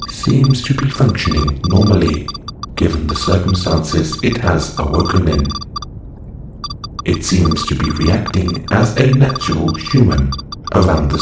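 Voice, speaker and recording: A man's voice: deep voice, exaggerated voice. A man voicing a clinical, detached observation robot in an audio roleplay, speaking in a stilted, formal, matter-of-fact way. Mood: clinical, cataloguing. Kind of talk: scripted audio roleplay monologue. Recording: roomy echo with faint noise.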